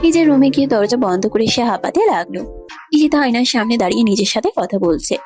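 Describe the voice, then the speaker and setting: A woman's voice: fairly high pitch, lively intonation, quick pace, a little mellow, faint music behind. A woman reading scripted narration for a romantic story.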